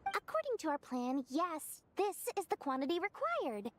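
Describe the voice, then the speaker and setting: High, swooping, money-minded little merchant. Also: female voice, huge pitch swings, normal speed, heavily acted. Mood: wheedling, lamenting. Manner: exaggerated, dramatic delivery. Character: small, theatrical, money-minded female merchant, gushing and wheedling. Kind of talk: video game voice acting.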